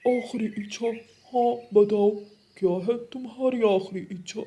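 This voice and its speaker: A performer plays a vain, gullible old-uncle owl in a children's cartoon. The male character speaks in a husky, breathy voice. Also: medium pitch, steady tone, moderate pace, mellow, natural voice. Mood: curious. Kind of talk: Hindi children's cartoon acting.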